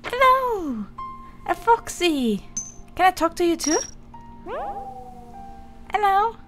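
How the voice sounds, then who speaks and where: A woman's voice: extremely high pitch, very animated, takes it slowly. A woman doing casual, exasperated, sweary let's play commentary and reading on-screen text aloud. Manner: sweary, exasperated banter with the game. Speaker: gaming video host. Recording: loud game music behind. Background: game music.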